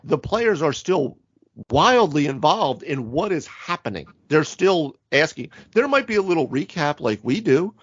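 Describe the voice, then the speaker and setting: A man's voice: on the high side, slightly rough, lo-fi sound. A man chatting casually as a podcast co-host about tabletop games, informal and slangy, often saying dude and right.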